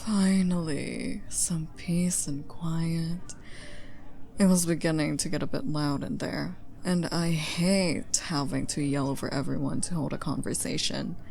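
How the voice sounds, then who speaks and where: A woman's voice: low voice, fairly even intonation, darkish tone. A woman acting a flirty, teasing stranger in an intimate ASMR roleplay, coaxing playfully. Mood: flirty, inviting. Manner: playful, coaxing, drawn-out teasing with breathy asides. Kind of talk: ASMR roleplay, intimate one-sided dialogue.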